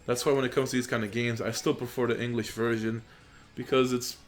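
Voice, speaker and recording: A man's voice: medium pitch, fairly quick. A young man, a gaming YouTuber who grew up in Poland and speaks Polish natively, giving casual, rambling commentary over gameplay into a desk microphone, with plenty of fillers. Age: young adult. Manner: rambling, filler-heavy, relaxed. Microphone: USB desk microphone.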